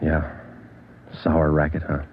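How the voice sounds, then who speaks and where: A man playing a terse, methodical police sergeant with a clipped, deadpan delivery in a 1940s radio drama. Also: extremely low pitch, slow pace, breathy edge, faint music behind, heavily acted. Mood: flat, businesslike. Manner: deadpan, clipped, matter-of-fact. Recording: muffled old 1940s radio recording.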